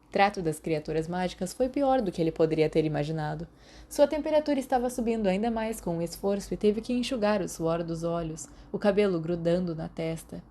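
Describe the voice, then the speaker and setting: A woman with a Brazilian accent, calmly reading a fan fiction audiobook aloud. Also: on the low side, fairly quick. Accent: Brazilian Portuguese. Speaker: podfic reader.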